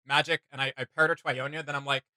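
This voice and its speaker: A man's voice: medium pitch, little pitch movement, quick pace, pushed and bright, no room sound. A man co-hosting a reaction video, chatting casually in a rambling, enthusiastic way about what he likes. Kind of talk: casual opinion chat with co-host.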